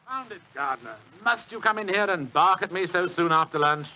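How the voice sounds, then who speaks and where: An actor playing a lazy, pompous, shrewd British government official with a grumbling, dryly superior manner, in 1940s radio drama style. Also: male voice, high-pitched, animated, fairly quick, sharp tone, highs cut off, exaggerated voice. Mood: irritated.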